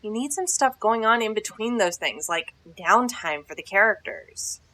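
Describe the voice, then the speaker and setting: A bossy teenage girl played by a woman in an audio drama. Her bright, forward voice is brusque and slangy, with an impatient edge. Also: mid-range voice, lively intonation, moderate pace. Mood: critical. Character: bossy, blunt teenage girl helping film a movie.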